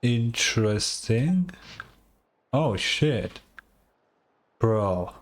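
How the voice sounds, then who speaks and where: A man's voice: medium pitch, big pitch swings, extremely slow. A man giving casual live commentary as he reacts to a video game, laconic and wry.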